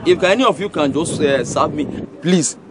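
A man's voice: a little high, normal speed, noisy background, natural voice. A man with a Nigerian accent playing a boastful drinker who puts on a showy, slangy swagger in a comedy film. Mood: teasing, pleading. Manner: showy, animated slangy posturing. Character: boastful drinker putting on a returnee-from-abroad swagger, then pleading.

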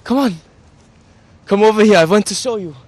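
A man acting as a nostalgic young rock musician in a mockumentary, his voice on the high side. He reminisces in halting bursts, often tagging a phrase with a questioning "yeah?", out in the open air. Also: a little mellow, no echo. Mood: excited, sentimental. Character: nostalgic former member of a fake Swedish rock band. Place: outdoors on a campus lawn.

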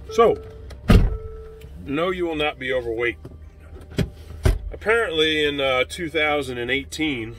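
A man in his forties, a truck driver vlogging, explaining things step by step to viewers while filming on a phone at arm's length, first outdoors by his truck and then in the cab. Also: mid-range voice. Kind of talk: casual explanatory vlog. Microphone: handheld phone at arm's length.

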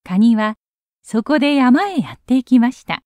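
A woman narrating a children's story in an expressive storytelling style. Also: fairly high pitch, moderate pace, no room sound, clean studio sound.

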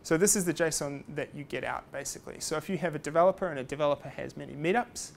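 A man's voice: on the high side, fast talker, creaky, slight background noise. A man giving a casual technical talk at a meetup, chatty and self-deprecating with lots of fillers. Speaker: meetup speaker. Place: meetup room.

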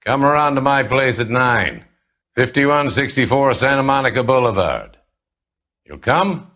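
An actor playing a middle-aged crooked American tycoon in a video game, curt, commanding and sardonic. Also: male voice, medium pitch, expressive, unhurried, sharp tone. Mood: cool, sly. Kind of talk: dramatic video game acting.

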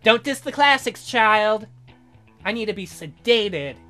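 A young punk girl musician from a visual novel, acted by a woman, brash and rebellious. She is high, loud and animated, with a cheeky, exasperated edge over background music. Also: a little slow.

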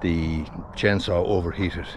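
A man's voice: low voice, fairly even intonation, a little slow. A man in his sixties from Ireland, filming himself in his garden and telling a rambling story that keeps correcting itself. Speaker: vlogger. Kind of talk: casual selfie vlog. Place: outdoors in a garden by a house. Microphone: phone camera at arm's length. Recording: noisy outdoor phone recording.

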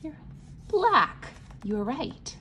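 Lively, sing-song woman reading to children. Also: high-pitched, slight background noise. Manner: sing-song, clearly enunciated, pausing to ask questions. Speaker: teacher reading a picture book aloud. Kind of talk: children's read-aloud and simple explanation. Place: indoors, at a table.

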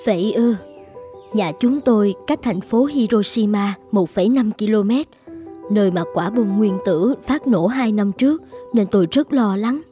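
A woman's voice: mid-range voice, warm tone, lightly acted. A woman voicing a loving, anxious mother in a cartoon, speaking in a tender, pleading way. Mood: worried. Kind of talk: animated cartoon dubbing.

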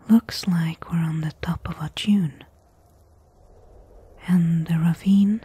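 Woman performing a daring female treasure hunter, ASMR roleplay, hushed whisper, lowish, soft mellow tone, companionable, coaxing. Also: heavily acted. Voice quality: breathy, whispery.

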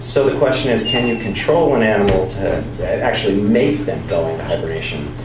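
Brief: lower-voiced male scientist, relaxed lecturer, recorded from far off in a noisy, echoey pub. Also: a little fast. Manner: relaxed, explanatory, with some restarts. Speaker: scientist giving a public talk. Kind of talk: lecture and audience Q&A. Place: bar or pub venue with an audience. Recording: echoey, distant, noisy room.

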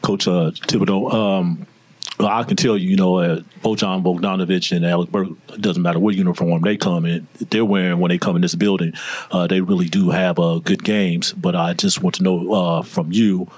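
Husky, very low-voiced male reporter. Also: flat delivery, a little mellow, dry recording. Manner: halting, long-winded. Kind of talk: press conference question.

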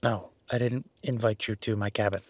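A man playing a shy, gentlemanly boyfriend in an intimate romantic audio roleplay, speaking softly and haltingly with gentle teasing. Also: fairly low pitch, steady tone, no echo, lightly acted. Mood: bashful, affectionate. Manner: hesitant, soft-spoken, gently teasing.

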